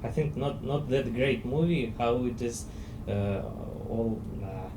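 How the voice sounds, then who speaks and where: A man with a Russian accent speaking English as a second language, searching haltingly for words in a cinema auditorium. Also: mid-range voice. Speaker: discussion club participant. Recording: echoey hall, distant, noisy.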